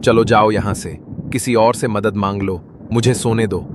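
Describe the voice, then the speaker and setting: A man voicing a grumpy, selfish male crow in a cartoon, who talks gruffly and brushes others off. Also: on the low side, steady tone, a little slow, noisy background, natural voice. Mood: annoyed. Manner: gruff, irritable, dismissive.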